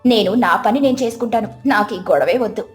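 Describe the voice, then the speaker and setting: A woman voicing a calm, sensible village daughter-in-law in a moral story, speaking in a placid, reasonable way. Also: medium pitch, brisk, reverberant, faint music behind, lightly acted. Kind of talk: moral story character acting.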